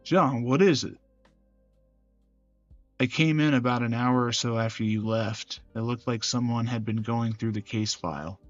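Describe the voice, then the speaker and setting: A man performing scripted dramatic dialogue from a visual novel in a measured, serious manner. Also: medium pitch, highs cut off. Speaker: gaming channel creator voicing every character. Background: game music.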